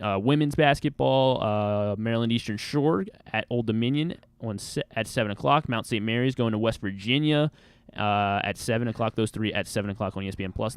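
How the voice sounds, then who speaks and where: Casting: American man, radio sports co-host, crisp clean voice, dry studio sound, casual delivery. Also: lowish voice, no room sound. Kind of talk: casual sports talk, trivia and schedule rundown.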